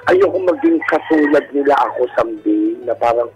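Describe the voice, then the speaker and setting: A Filipino man with a campy, animated way of talking, telling his personal story casually as a guest on a radio call-in show. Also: mid-range voice, normal speed, muffled recording. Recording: radio call-in over background music. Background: music.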